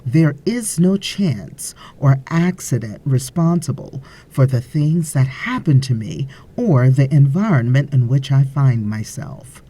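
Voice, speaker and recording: A woman reading a spiritual audiobook as scripted narration, in a measured, deliberate way with frequent pauses. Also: rumbling low, warm tone, breathy edge.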